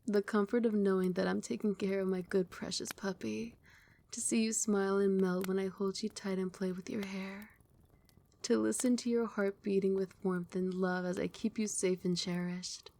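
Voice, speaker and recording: A woman voicing a doting, possessive female vampire queen in an ASMR roleplay, speaking in a soft, soothing whisper close to the mic. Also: medium pitch, level tone, normal speed, vocal fry, natural voice. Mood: tender.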